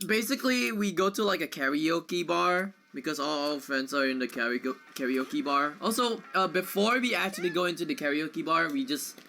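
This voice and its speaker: A man talks casually through a game as he plays. His voice is bright and sits a little high, with faint noise in the background. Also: normal speed, creaky, hardly any pauses. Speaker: speedrunner commentating own play. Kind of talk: casual gameplay commentary.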